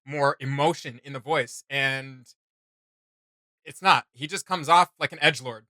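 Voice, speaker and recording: A man's voice: mid-range voice, a little slow, sharp tone, dry recording, studio quality. A man hosting a reaction video, giving casual commentary in a blunt, mocking, slangy way.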